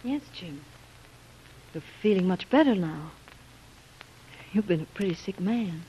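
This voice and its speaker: A woman's voice: fairly low pitch, expressive, a little slow, dark tone. An actress playing a strong-willed, overwrought woman nursing patients, stammering and insistent in 1930s radio drama style. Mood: strained, reassuring. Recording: muffled noisy vintage radio recording.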